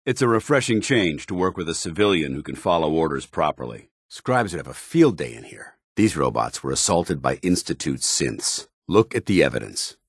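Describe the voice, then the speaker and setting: An actor playing a stern, loyal, zealous soldier in a video game, speaking gruffly with earnest, clipped military formality. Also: male voice, very deep voice, sing-song, normal speed, hardly any pauses, no echo, studio quality, heavily acted. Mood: firm, businesslike. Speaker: companion soldier character.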